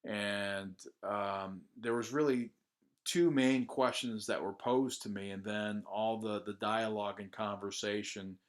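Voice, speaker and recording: An American man talking casually about his own job search, with plenty of "uh" and "um" pauses. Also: fairly low pitch. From United States. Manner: conversational, with frequent "uh" and "um" pauses. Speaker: job candidate sharing a testimonial. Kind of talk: casual first-person testimonial.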